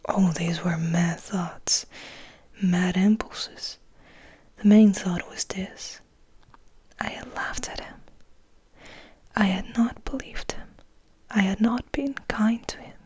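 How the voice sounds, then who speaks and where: A brooding, guilt-ridden male vampire, as a woman reads him in a whispered storybook confession. The delivery is slow and measured, very breathy, with a dry, intimate sound. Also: medium pitch, steady tone, warm tone, no room sound. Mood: mournful, guilty. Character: melancholy guilt-ridden male vampire recounting his brother's death. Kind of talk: whispered ASMR audiobook reading.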